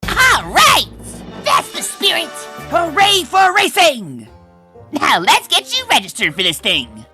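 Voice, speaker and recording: A woman's voice: mid-range voice, wildly swooping pitch, moderate pace, hardly any pauses, over music. A woman voicing a brash, loyal, fiery female pony in a comic dub. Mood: excited. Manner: brash, emphatic. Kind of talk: comic dub character acting.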